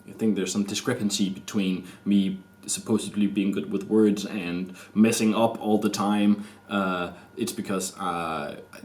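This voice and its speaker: Man, 30s, Danish accent, Danish native speaker, slow halting speech, stammering restarts, personal vlog to camera. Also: on the low side, fairly even intonation, darkish tone. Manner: hesitant, halting, many restarts and fillers. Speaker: vlogger. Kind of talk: rambling personal confession to camera. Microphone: camera mic.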